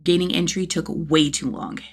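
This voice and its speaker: A woman narrates fiction in an expressive, storytelling style. Her voice sits a little low for a woman and carries a slight husky grain. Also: moderate pace.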